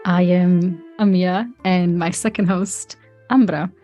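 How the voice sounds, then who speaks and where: Low-voiced Swiss-woman hosting a podcast. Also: fairly even intonation, warm tone, faint music behind. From German-speaking Switzerland. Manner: measured, hesitant, with frequent fillers. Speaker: podcast host. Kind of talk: casual podcast chat.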